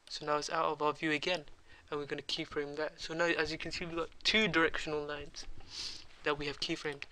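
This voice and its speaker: Young guy with a cold hosting a tutorial, rambling and casual; fairly high, crisp and clear voice. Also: bright, forceful tone. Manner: rambling, lots of ums and uhs. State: has a cold. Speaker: tutorial host. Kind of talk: casual screen-recorded software tutorial.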